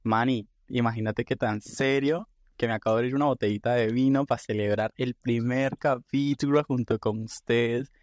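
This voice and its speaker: A man's voice: medium pitch, fairly even intonation, normal speed, few pauses, dry recording. Playful joking man, podcast co-host. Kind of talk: casual podcast chat.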